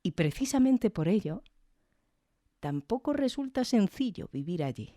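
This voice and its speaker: A woman's voice: mid-range voice, big pitch swings, measured pace, warm tone, dry recording, clean studio sound. A woman hosting a podcast, giving reflective, conversational scripted commentary with tag questions. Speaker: podcast host.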